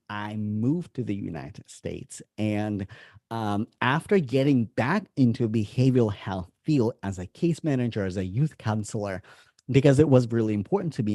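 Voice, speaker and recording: Bright-voiced Russian-accented man coaching online. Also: fairly low pitch, no room sound, clean studio sound. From Moscow, Russia. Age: 30s. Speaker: business coach hosting a masterclass. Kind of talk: informal online presentation.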